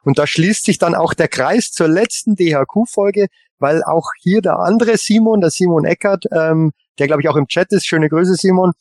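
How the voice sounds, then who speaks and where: A man with a slightly high voice chats casually as a podcast co-host. He is enthusiastic and rambling, often halting mid-thought, in a dry recording. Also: a little mellow, dry recording. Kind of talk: casual fan chat. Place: remote online call.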